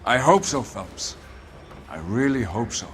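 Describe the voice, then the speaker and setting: An actor playing a stern, disappointed police captain in a crime game, speaking with grave reproach. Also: male voice, mid-range voice, very animated, unhurried, fairly bright tone, slight background noise. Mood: stern disappointment. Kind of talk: dramatic video game acting.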